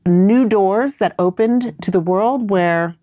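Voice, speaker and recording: A woman's voice: medium pitch, takes it slowly, dark tone, no room sound, clean studio sound. A middle-aged woman raised in New York, answering interview questions in a thoughtful, winding storytelling way. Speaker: podcast guest.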